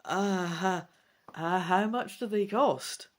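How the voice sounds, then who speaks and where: A woman's voice: medium pitch, slow pace, lightly acted. A woman voicing an earnest young male apprentice magic user, a little hesitant and questioning, in a storybook reading. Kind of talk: dramatic gamebook reading.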